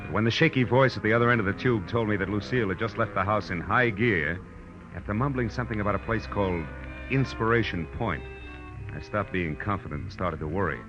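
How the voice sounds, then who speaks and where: An actor playing a tough, wry private eye in a 1940s radio crime drama, speaking in clipped slang on an old recording. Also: male voice, lowish voice, a little fast, muffled recording, music in the background, natural voice. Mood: grim narration. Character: hard-boiled male private eye, wry, tough and insistent. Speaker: detective and first-person narrator.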